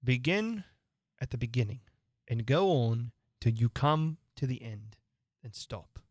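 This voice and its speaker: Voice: medium pitch, expressive, unhurried, no echo, studio quality. A performer voicing a pompous, blustering and muddled king who presides as judge in a storybook, speaking emphatically.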